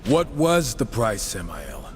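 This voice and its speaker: An actor playing a blunt, wry supernatural warrior in a video game cutscene, speaking tersely. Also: male voice, on the low side, wildly swooping pitch, slow, a little hiss or hum, full character voice. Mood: disbelieving, accusing.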